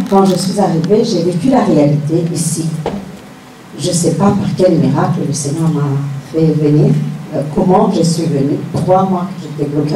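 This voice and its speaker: A woman's voice: deep voice, dark tone, slight background noise. A middle-aged woman gives a personal religious testimony, telling her story earnestly and repeating phrases for emphasis. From Rwanda. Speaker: church member giving testimony. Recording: echoey room, distant mic, music.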